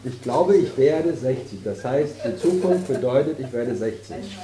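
A man's voice: medium pitch, lively intonation, unhurried. Fifties guy, spiritual teacher, jokey rambling talk, echoey room, crowd all around. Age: 50s. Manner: joking, teasing, rambling. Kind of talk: casual talk with an audience. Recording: roomy hall with audience.

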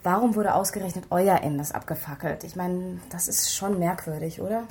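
A woman's voice: on the low side, a little fast, darkish tone, slight background noise, lightly acted. An actress playing a concerned, probing female police investigator in a naturalistic radio crime drama. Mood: probing, hesitant. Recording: music behind the voice. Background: music.

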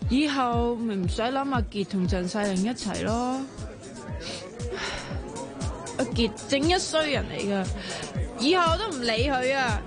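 A woman's voice: a little high, animated, normal speed, music in the background, lightly acted. An actress playing a slightly drunk young woman who talks emphatically and insistently, in Hong Kong Cantonese. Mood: tipsy. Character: young woman, tipsy and indignant. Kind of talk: radio drama acting.

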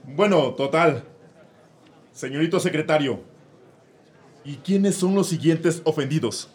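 A gruff, exasperated middle-aged judge, voiced by a man with a Mexican Spanish accent. His voice is husky and his delivery slow and weary in a comic radio sketch. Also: fairly high pitch.

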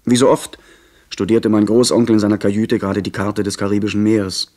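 An actor playing an earnest, polite young gentleman adventurer in a 1970s German radio drama. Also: on the low side, level tone, brisk, close to an everyday voice. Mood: measured, narrating.

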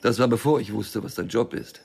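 An actor playing a stubborn, wary small-time drug dealer and loner in a radio crime drama, curt, dry and cynical. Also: male voice, fairly low pitch, a little fast, creaky, a little mellow, husky. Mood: cold, dismissive.

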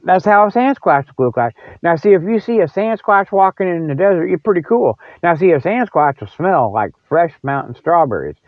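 A man's voice: a little high, sing-song, moderate pace, few pauses, no echo. An older American man hosting a podcast in rambling, casual solo chat, with a folksy, jokey way of talking. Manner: folksy, jokey, often chuckles. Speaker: podcast host. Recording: dull lo-fi audio.

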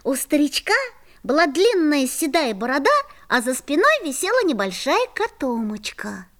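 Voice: high-pitched, sing-song, normal speed, fairly bright tone, heavily acted. A performer playing an eager young child who narrates and acts in a fairy-tale audio play. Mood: storytelling.

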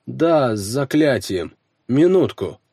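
Courteous, formal gem appraiser voiced by a man, lively intonation. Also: mid-range voice, takes it slowly, vocal fry, breathy edge, no echo, studio quality, exaggerated voice. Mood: polite, businesslike. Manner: polite, formal, precise. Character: courteous middle-aged male gem appraiser, businesslike clerk.

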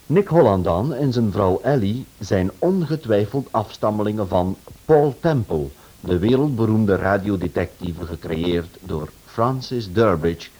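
Man, very low husky voice, animated intonation, scripted radio introduction. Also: rough recording. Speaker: radio drama director introducing a series.

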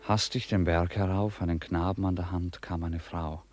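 Low-voiced male narrator, measured and formal. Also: fairly even intonation, a little mellow, no echo, soft background music. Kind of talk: scripted literary narration for radio drama.

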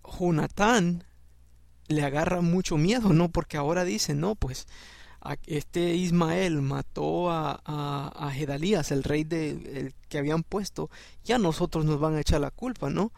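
Gravelly Salvadoran Bible teacher. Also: male voice, fairly high pitch, unhurried, darkish tone, no room sound. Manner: conversational, acts out the people in the story with raised voices, keeps asking "¿no?". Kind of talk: informal Bible study teaching.

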